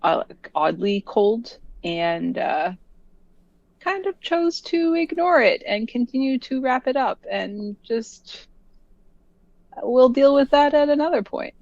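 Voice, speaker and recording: Slow, rambling player on a dull mic. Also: high voice, expressive, gravelly, a little mellow, dry recording. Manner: chatty, rambling with fillers. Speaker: tabletop game player. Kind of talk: describing a character's actions.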